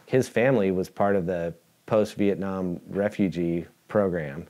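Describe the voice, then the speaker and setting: A man speaking calmly and thoughtfully as an interviewee in a skate documentary. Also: low-pitched, unhurried, slight background noise. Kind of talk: reflective interview commentary.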